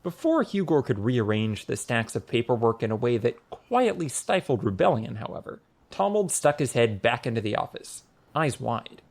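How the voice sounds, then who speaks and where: Expressive male audiobook narrator with swooping pitch. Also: normal speed, vocal fry, few pauses. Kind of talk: scripted story narration.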